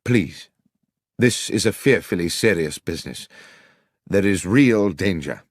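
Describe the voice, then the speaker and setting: A man voicing an earnest, courteous gentleman in an audiobook, speaking in a grave, formal, polite way. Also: low-pitched, animated, measured pace, no room sound, clean studio sound. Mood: grave, urgent. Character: earnest, courteous Victorian gentleman, a detective's loyal companion.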